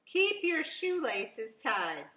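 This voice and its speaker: A woman's voice: sits high, very animated, very slow, pushed and bright, voice pushed up, full character voice. A woman voicing an earnest male police officer in a storybook, speaking in an emphatic, instructive way. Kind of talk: storybook character in read-aloud. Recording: roomy, echoing sound.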